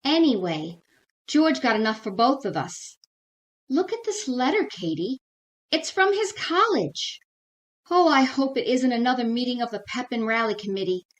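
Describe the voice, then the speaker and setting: A woman playing a high-pitched, theatrical comedy housewife. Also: normal speed. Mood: exasperated. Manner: exaggerated, expressive comic delivery. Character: Liz Cooper, chatty, dramatic, petulant housewife. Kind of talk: old-time radio comedy acting.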